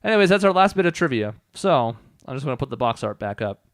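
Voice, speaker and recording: Male let's play host, casual and explanatory, brisk delivery, smooth tone, close dry sound. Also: mid-range voice, big pitch swings, no echo. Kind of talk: casual gameplay commentary.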